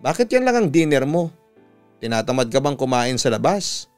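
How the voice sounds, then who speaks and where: A man voicing a concerned, frank young woman with a Filipino accent, gently scolding and questioning her friend in a dramatized story. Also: mid-range voice, very animated, moderate pace, clear, resonant tone, soft background music. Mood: curious.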